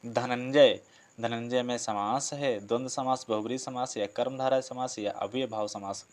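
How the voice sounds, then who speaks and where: A man's voice: mid-range voice, fairly even intonation, moderate pace. Man teaching, explanatory. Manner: explanatory, repeats and rephrases answers, asks himself questions. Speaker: exam coaching teacher. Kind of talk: Hindi grammar lesson, working through practice questions.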